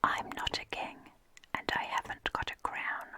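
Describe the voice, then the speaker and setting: A reader softly whispering children's poems aloud in an unvoiced ASMR style. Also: normal speed. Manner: soft unvoiced whisper throughout. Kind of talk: ASMR poem reading.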